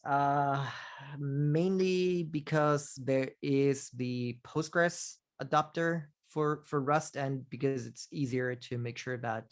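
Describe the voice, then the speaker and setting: Man, host, casual technical Q&A, halting, lots of ums and restarts. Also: mid-range voice. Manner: halting, many fillers and restarts. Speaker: host of community office hours.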